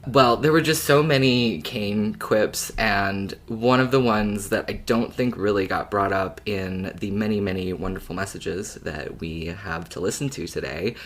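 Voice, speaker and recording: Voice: sits low, measured pace, hardly any pauses. A podcast co-host talking casually, with a playful, wry way of recapping.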